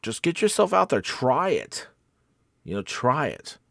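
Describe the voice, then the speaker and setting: A man's voice: mid-range voice, sing-song, unhurried, gravelly, dry recording, studio quality. A man chatting casually and encouragingly as the host of a voice acting livestream, in an earnest, conversational, rambling way. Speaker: voice actor hosting a coffee-time livestream.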